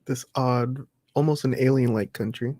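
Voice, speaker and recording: A man's voice: mid-range voice, fairly even intonation, moderate pace, warm tone, dry recording. A man hosting a film review discussion in a casual, conversational style. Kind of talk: casual chat.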